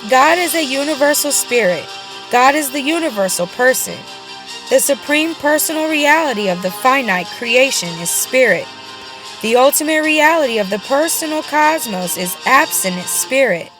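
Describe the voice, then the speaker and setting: A woman reads a religious book aloud as an audiobook narrator, solemn and measured, pausing deliberately between phrases. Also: high voice, expressive, over music, low-quality recording. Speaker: audiobook reader. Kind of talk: scripted reading of a religious book.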